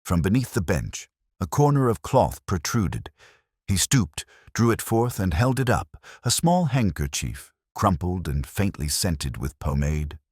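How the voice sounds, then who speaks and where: A man narrating a scripted literary mystery story in a measured storytelling manner. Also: low voice, big pitch swings, darkish tone, breathy edge, dry recording, studio quality. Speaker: audiobook narrator.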